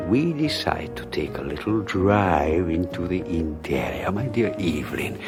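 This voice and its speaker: An actor playing a suave, scheming man who plots with his lover, speaking in a silky, mocking, drawn-out way, in a 1980s radio drama. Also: sits low, full character voice.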